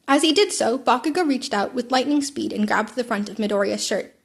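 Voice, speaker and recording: A woman's voice: on the high side, fairly quick, vocal fry, slightly rough. A woman narrating fan fiction as an amateur audiobook, now and then stumbling and rereading a word.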